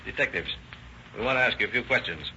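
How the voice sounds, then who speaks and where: An actor playing a dogged police detective with a clipped, probing, matter-of-fact delivery in an old radio drama. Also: male voice, fairly low pitch, animated, fast talker, sharp tone. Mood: pressing, skeptical. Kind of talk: 1940s radio acting. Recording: noisy lo-fi old radio recording.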